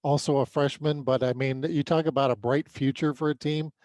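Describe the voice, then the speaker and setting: An American man co-hosting a sports podcast, giving an unscripted recap in a halting way with repeated words. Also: mid-range voice, fairly even intonation, a little mellow, no room sound.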